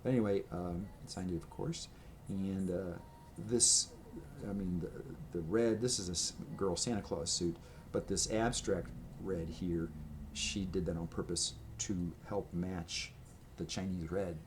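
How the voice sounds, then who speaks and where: A man's voice: low voice, fairly even intonation, a little slow, few pauses, a little hiss or hum. A man talking to his camera at home in a rambling, casual show-and-tell, full of fillers and restarts. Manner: chatty, meandering, many fillers and restarts. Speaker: vlogger showing his merch. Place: home room with gray walls and a red accent wall. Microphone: camera microphone, speaking to camera at a table.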